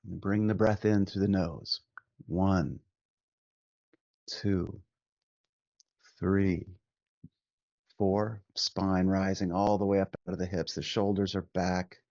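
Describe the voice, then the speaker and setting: A man leading a guided meditation as a teacher, speaking gently and soothingly with long pauses between short instructions. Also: low voice, little pitch movement, dry recording, muffled recording.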